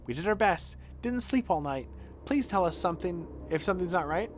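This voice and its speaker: A man plays an eager small-town hospital helper from a video game. The voice is pitched up and lively, polite and anxious to please. Some noise sits behind it. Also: brisk. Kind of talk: video game dialogue read aloud.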